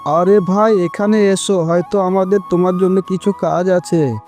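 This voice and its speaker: Man playing a high-voiced, mellow landlord's son. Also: fairly even intonation, measured pace, faint music behind. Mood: coaxing. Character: self-satisfied young landlord's son. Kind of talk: cartoon dialogue acting.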